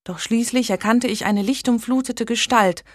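A woman's voice: on the high side, normal speed, no room sound, clean studio sound, pitched above the performer's own voice, lightly acted. A woman voicing a pious young noblewoman who earnestly pleads with a king, in a storybook reading. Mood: earnest pleading. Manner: earnest, imploring.

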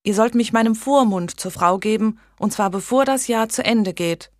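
A woman's voice: fairly high pitch, moderate pace, no echo, studio quality, pitched above the performer's own voice, close to an everyday voice. A woman voicing a pious young noblewoman who earnestly pleads with a king, in a storybook reading. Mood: fervent. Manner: earnest, imploring.

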